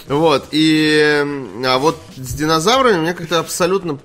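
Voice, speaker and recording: A man's voice: a little high, moderate pace, fairly bright tone. A man hosting a games news stream, talking casually in a slangy, opinionated, rambling way. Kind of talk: casual live stream chat.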